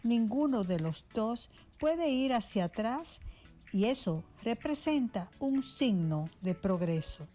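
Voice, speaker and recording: A woman reading a short scripted trivia segment in a slow, expressive way. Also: fairly high pitch, over music. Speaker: segment narrator. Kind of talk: scripted narration.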